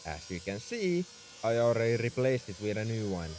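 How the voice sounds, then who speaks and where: Exasperated, animated man acting as a mech engineer. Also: lowish voice, moderate pace, a little hiss or hum. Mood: dry, scolding. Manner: exasperated, sarcastic scolding. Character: tsundere mech engineer, scolding, sarcastic, secretly worried young man. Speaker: voice actor. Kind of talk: ASMR roleplay audio drama.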